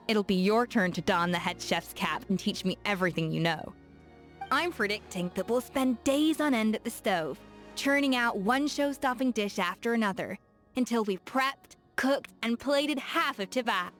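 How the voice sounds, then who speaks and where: A woman's voice: fairly high pitch, lively intonation, moderate pace, lightly acted. An actress voicing a confident, encouraging woman chef in a video game, with faint music behind. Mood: eager. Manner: warm, encouraging and playful. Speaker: game character. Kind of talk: game voice acting.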